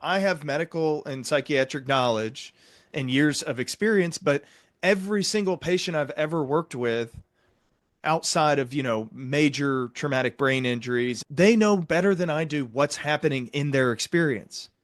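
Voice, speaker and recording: A man hosting a reflective interview, explaining things in a measured, reassuring way. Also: fairly high pitch, no echo, clean studio sound. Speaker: interview host and psychiatric clinician.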